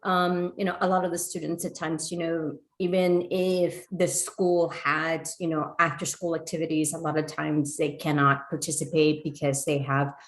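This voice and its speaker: A woman's voice: low voice, level tone, moderate pace, hardly any pauses, rough recording. A woman asking a presenter long, winding questions on a video call, with many "you know"s along the way. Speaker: panel questioner.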